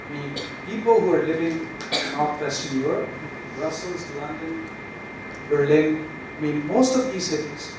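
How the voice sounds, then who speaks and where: Higher-voiced man in a very echoey hall. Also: moderate pace. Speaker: conference panelist. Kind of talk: explanatory spontaneous answer. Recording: very echoey, distant hall mic, noisy.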